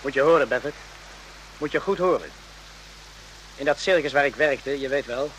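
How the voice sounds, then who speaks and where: An actor playing a calm, reflective companion in a radio play, talking in a measured, plain and soothing way. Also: male voice, mid-range voice, natural voice. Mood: reflective, earnest. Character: calm, reflective male companion, gently philosophical storyteller. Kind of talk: Dutch radio play acting. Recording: slightly noisy old radio recording.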